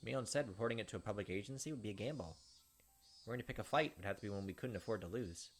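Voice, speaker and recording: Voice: mid-range voice, rapid-fire. A let's play host reading a visual novel aloud and adding casual, sympathetic commentary. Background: game music.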